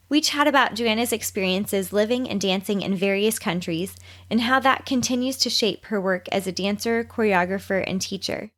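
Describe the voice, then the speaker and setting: A woman hosting a podcast in an enthusiastic, friendly way, with a slightly scratchy voice. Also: mid-range voice. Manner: enthusiastic, gushing. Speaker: podcast host. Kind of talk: casual friendly interview chat.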